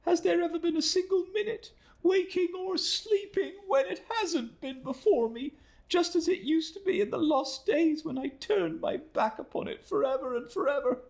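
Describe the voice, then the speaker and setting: A man voicing a desperate young woman who weeps and begs for mercy in a high, sobbing voice. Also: normal speed, creaky, darkish tone. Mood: pleading, sobbing.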